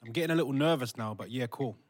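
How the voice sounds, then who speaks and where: A man's voice: medium pitch, quick pace, natural voice. A young man acting as an affectionate, slightly anxious fiancé in an audio drama, casual in delivery. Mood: uneasy. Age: young adult.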